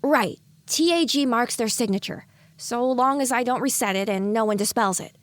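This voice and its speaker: A woman's voice: on the high side, moderate pace, no echo, natural voice. A woman voicing the inner thoughts of an anxious, earnest young female pony in an audio drama. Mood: matter-of-fact. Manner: earnest, worried self-talk.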